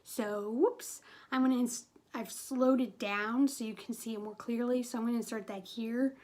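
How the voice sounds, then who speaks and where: A woman from New England talks through a TV movie in a casual, wry way. Her voice sits a little high. She pads her talk with "like" and "um.". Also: fairly even intonation, normal speed, a little mellow. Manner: chatty, wry, with frequent "like" and "um". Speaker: movie reviewer. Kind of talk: casual video review.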